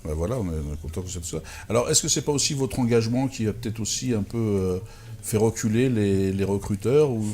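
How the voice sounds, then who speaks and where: A man hosting a talk show, interviewing a guest in a halting way, repeating words and filling pauses. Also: fairly low pitch, a little mellow.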